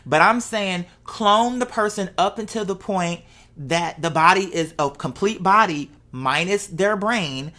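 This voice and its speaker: Low-voiced woman musing casually. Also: a little slow, pushed and bright. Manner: conversational and musing, poses rhetorical questions and checks in with the listener. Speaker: host of a live show. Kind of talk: casual unscripted monologue to followers.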